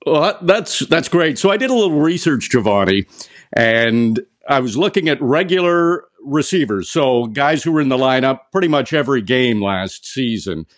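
A man hosting a sports radio interview in a conversational way, pausing now and then as he frames his questions. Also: mid-range voice, animated. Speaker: sports show host, interviewer.

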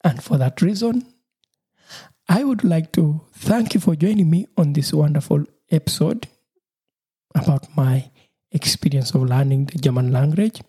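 A man with a Ugandan accent and Lusoga as his first language talks through his solo podcast. His voice is dark and slightly husky, and he keeps a cheerful, laughing tone while circling back over his points. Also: fairly high pitch, a little slow. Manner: cheerful, laughs often, repeats himself. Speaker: podcast host.

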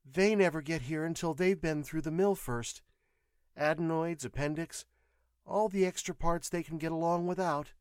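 A man voicing a firm, caring woman nurse in an audio story, explaining and gently admonishing. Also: a little high, normal speed, smooth, no echo, studio quality, voice pushed up, close to an everyday voice.

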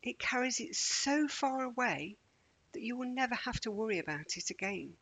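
Female meditation guide for children. Soft-edged breathy tone with vocal fry, measured and soothing delivery. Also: a little high, animated, poor audio. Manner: gentle, measured, deliberate pauses.

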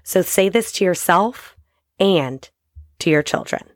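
A woman in her thirties hosting a short motivational podcast, hoarse and raspy from a cold, talking straight to her listeners in an encouraging way. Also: fairly low pitch, animated, measured pace, loud music behind the voice. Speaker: podcast host.

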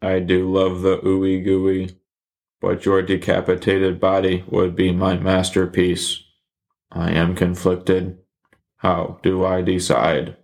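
A man voicing a deadpan, literal-minded killer who talks in a flat, robotic monotone. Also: low-pitched, slow, creaky, exaggerated voice. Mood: flat menace.

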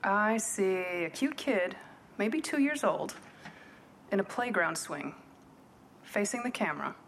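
An actress playing a cool, confident female private investigator in a noir radio play, deadpan and wisecracking. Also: mid-range voice, takes it slowly, slight background noise. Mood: cool, businesslike. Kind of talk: noir radio play acting, narration and dialogue.

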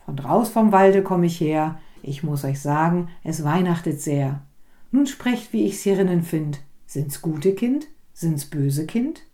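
A woman voicing an old, faithful Christmas servant in a recited poem, in a steady, dutiful way. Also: low-pitched, measured pace. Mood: announcing.

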